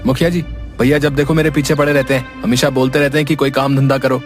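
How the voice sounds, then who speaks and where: Guy doing young village lad, earnest n touchy, mid pitch, cartoon story, bit of music behind. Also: level tone, fast, lightly acted. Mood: sulky, defiant. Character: hot-headed, earnest young village man seeking work. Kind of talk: cartoon moral story acting.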